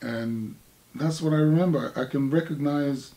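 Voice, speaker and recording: An older man from Jamaica with a Jamaican accent, an artist recalling his past in a halting, reflective conversation. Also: fairly high pitch, dark tone. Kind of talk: casual recollection in conversation.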